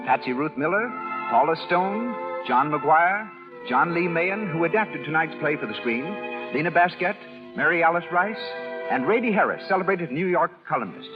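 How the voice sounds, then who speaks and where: An American man makes formal announcements on a 1930s network broadcast. His delivery swings in pitch and is emphatic. Loud music plays behind him, and the old recording is muffled. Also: lively intonation, normal speed. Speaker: radio announcer.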